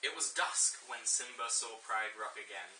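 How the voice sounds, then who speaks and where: A man's voice: mid-range voice, moderate pace. A man reads a children's storybook aloud to friends in a playful, dramatic, jokey way. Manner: dramatic, jokey, mock-teacherly asides. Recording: echoey room, distant mic, faint noise.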